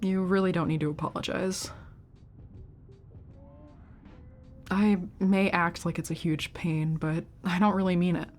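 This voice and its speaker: Woman acting a teasing, caring older sister, low-toned. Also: steady tone, normal speed, faint music behind, natural voice. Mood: gently teasing.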